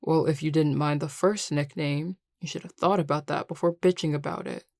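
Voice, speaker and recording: A woman's voice: sits low, fairly even intonation, moderate pace, a little mellow, dry recording, clean studio sound. A woman playing a grumpy young male coworker in an audio roleplay, curt and sarcastic with exasperated delivery. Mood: sardonic, irritated. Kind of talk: scripted audio roleplay acting.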